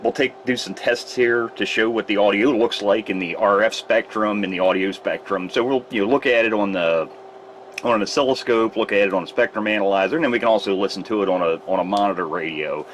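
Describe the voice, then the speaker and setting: Man, low voice, rambling workshop demo, faint hiss behind. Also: fairly quick, fairly bright tone. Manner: rambling, self-correcting. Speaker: radio repair technician. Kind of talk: casual workshop demonstration. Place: repair shop workbench.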